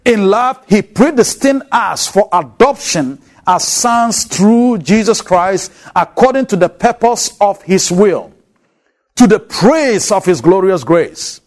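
Slow, animated African-accented male preacher. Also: high voice. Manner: emphatic, repeats key words, slows on phrases. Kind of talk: expository sermon.

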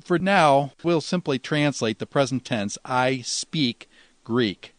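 A man's voice: mid-range voice, lively intonation, slow, no room sound. Man teaching in a very dry recording. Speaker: teacher of New Testament Greek. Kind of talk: instructional lecture, explaining with examples.

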